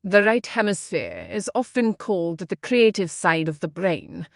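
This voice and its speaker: A woman reading scripted practice texts aloud in a measured, instructional way. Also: on the low side, huge pitch swings, gravelly, no room sound, clean studio sound. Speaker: narrator of read-aloud exam practice texts. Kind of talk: scripted read-aloud narration.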